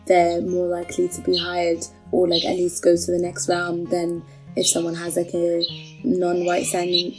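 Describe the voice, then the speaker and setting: Young woman, low even voice. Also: rough recording. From London. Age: 20s. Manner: casual, hedging with fillers. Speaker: interviewee.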